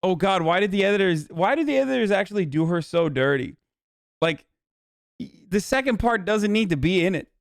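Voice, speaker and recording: An argumentative man doing live reaction commentary on his stream. His voice is crisp and fairly high, recorded dry. He sounds exasperated and swears freely. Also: expressive, a little fast, no room sound. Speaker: streamer host.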